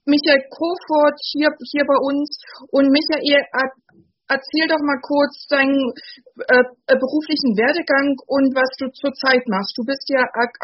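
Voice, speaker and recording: A woman's voice: high voice, lo-fi sound. A woman hosting a community radio show, stuttering with frequent repeated sounds and words. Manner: stutters, frequent word repetitions.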